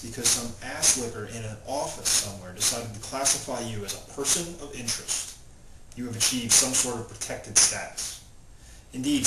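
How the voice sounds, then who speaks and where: A male author talking informally and reading his novel aloud in his own plain voice, without putting on character voices. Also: mid-range voice. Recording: roomy, distant mic, loud background noise.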